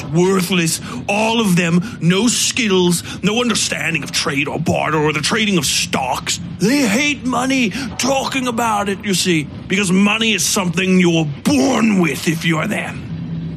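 A man voicing a bitter, drunken aristocrat who lectures and rants with a sneer, sometimes breaking into shouts. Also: high voice. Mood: drunken scorn. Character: drunk, bitter, ranting male aristocrat theorist. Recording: music bed underneath.

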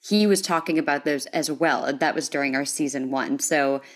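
A woman's voice: low voice, normal speed. A woman with an American accent hosting a casual podcast in an enthusiastic, appreciative manner. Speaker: podcast host.

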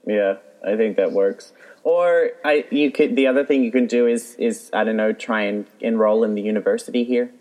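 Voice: mid-range voice, normal speed, darkish tone. Earnest, helpful casual chatter. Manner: earnest, explains with restarts. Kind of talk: casual chat.

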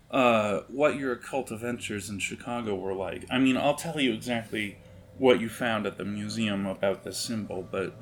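Gravelly low-voiced man narrating a game. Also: fairly bright tone, a little hiss or hum. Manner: halting, descriptive delivery. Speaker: game master. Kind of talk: improvised tabletop game narration.

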